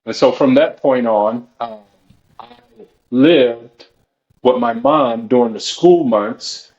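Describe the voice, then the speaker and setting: Slow, hesitant man from New Orleans. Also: medium pitch, a little mellow, dull audio. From New Orleans, Louisiana. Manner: slow, hesitant, many fillers. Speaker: guest.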